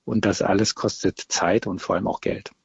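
A man speaking for a power grid operator in an interview clip, explaining technical work in a calm way. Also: low-pitched, normal speed, creaky, breathy edge, no echo, highs cut off. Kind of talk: interview soundbite.

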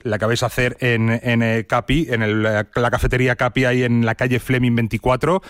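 A man's voice: mid-range voice, little pitch movement, a little fast, fairly bright tone, smooth, no room sound, clean studio sound. A man hosting a radio interview, recapping details and using many fillers. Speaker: radio host.

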